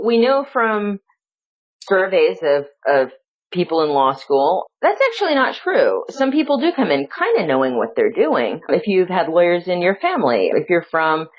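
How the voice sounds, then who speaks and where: A woman law professor answering interview questions in a thoughtful, reflective way, filling her talk with hedges and restarts. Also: lowish voice. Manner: thoughtful, full of "you know" fillers and restarts. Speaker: law professor and researcher, guest. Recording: dull lo-fi audio.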